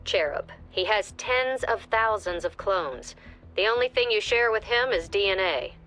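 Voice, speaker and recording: An actress playing the authoritative director of a tactical squad in a video game, giving measured, firm mission briefings. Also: female voice, medium pitch, animated, fairly bright tone, faint music behind, natural voice. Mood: reassuring.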